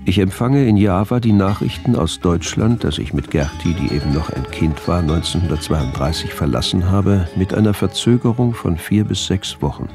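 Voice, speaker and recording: An actor playing a grandiose, brooding colonial-era doctor, reading his diary in measured, formal speech as a radio drama monologue. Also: deep voice, music in the background. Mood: solemn, lofty.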